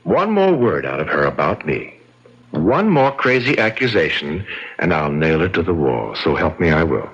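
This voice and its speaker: A dull old radio recording carries a calculating, indignant brother-in-law. His voice is low and husky and swings widely as he makes his emphatic, theatrical case in a mystery drama. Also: big pitch swings, normal speed, fairly bright tone, exaggerated voice. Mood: grudging, threatening. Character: smooth, calculating, aggrieved brother-in-law suspected of murder.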